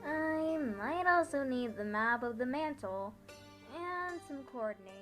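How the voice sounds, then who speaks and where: A woman's voice: high-pitched, expressive, unhurried, a bit bright, soft background music, voice pushed up. A woman voicing a determined young female pony heroine, anxious but brave, in an earnest story reading. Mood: resolute, earnest.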